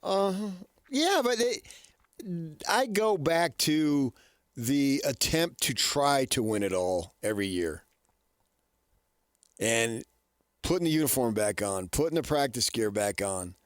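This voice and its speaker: A middle-aged American man co-hosting sports radio, giving opinions conversationally and haltingly, as if thinking aloud. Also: mid-range voice, lively intonation, dry recording, clean studio sound. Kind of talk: casual sports talk opinion. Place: radio studio. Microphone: close studio microphone.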